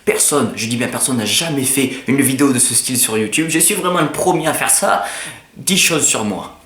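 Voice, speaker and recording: A man's voice: mid-range voice, normal speed, reverberant. A teenage boy with a Southern French accent, chatting to camera in a casual, self-mocking vlog. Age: teens. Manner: joking, self-mocking, lively banter. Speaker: YouTuber.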